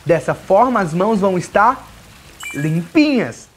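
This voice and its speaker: A man's voice: high-pitched, lively intonation, measured pace, a little hiss or hum. A young man with a Brazilian accent presenting a playful children's story as a toy doctor, speaking in simple, child-directed phrases. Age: young adult. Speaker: children's video host playing a toy doctor. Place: small furnished playroom studio, indoors. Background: background music.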